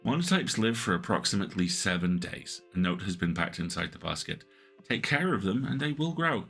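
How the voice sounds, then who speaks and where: A man reading interactive fiction aloud on a stream, with measured, atmospheric narration. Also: low voice, very animated, gravelly, a bit bright. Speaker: game narrator.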